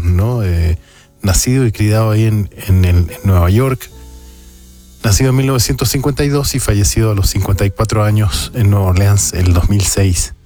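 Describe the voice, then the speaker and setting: Low-voiced male jazz radio host. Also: darkish tone. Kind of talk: casual music commentary. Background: music playing behind the voice.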